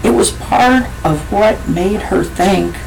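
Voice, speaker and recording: A woman's voice: mid-range voice, takes it slowly, gravelly, over loud music, low-quality recording. A woman reading her own story aloud in a plain, self-deprecating way, now and then stumbling over words. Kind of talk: unhurried story reading, then casual sign-off.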